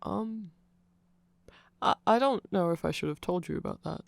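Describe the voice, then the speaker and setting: A performer voicing a nerdy, awkward, eager vampire friend in a rambling, hesitant ASMR roleplay, halting and self-conscious. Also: medium pitch, creaky, no room sound, natural voice. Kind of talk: rambling ASMR roleplay, explaining fan lore to a listener.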